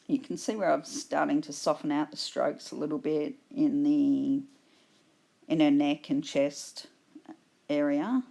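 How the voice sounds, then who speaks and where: A woman with an Australian accent, hoarse from a cold, patiently explaining her painting steps in a tutorial voice-over. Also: low voice, steady tone, warm tone. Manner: slow, patient explaining with fillers. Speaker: art instructor.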